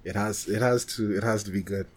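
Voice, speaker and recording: A man co-hosting a podcast, chatting casually about films in an animated, exclamatory way. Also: lowish voice, a little fast, crowd noise. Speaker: podcast co-host.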